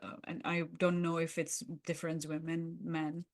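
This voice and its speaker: Female coach and co-host, slightly low register, discussing in a conversational way, feeling out her phrases. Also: level tone, moderate pace, no room sound, studio quality. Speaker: podcast co-host and coach with clients.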